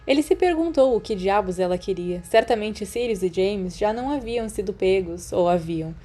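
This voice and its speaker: A Brazilian woman narrates a story in a mellow, soft-edged tone. Her pitch sits in the middle range for a woman, and she reads as one telling an audiobook tale. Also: expressive, moderate pace. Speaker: podfic narrator.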